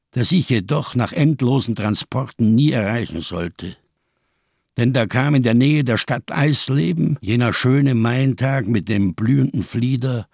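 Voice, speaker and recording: A man's voice: medium pitch, no room sound, clean studio sound, heavily acted. An actor in a radio drama playing a scarred, hard-drinking survivor of the camps, solemn and rhetorical, bitterly defiant in his grief. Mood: anguished, solemn. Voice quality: gravelly, husky. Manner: solemn, rhetorical, swinging between hushed and impassioned.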